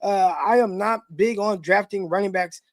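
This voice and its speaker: A man's voice: high-pitched, no room sound, studio quality. A man co-hosting a live football podcast, giving opinionated and enthusiastic takes in a loose conversational way. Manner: enthusiastic, opinionated, quick asides. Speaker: co-host, former pro football player. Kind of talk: live podcast draft talk.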